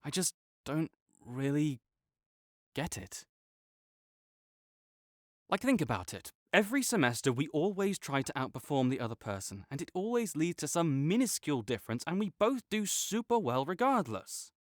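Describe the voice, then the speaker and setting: A man's voice: fairly high pitch, sing-song, moderate pace, no room sound, studio quality. A young man playing a prickly male academic rival who is grudgingly kind, talking teasingly and defensively to a silent listener in an audio roleplay. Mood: bickering.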